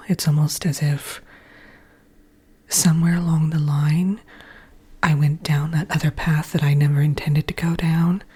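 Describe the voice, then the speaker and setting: A woman hosting an ASMR channel, giving a whispered update chat with soft pauses and fillers while congested and croaky from allergies. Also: low voice.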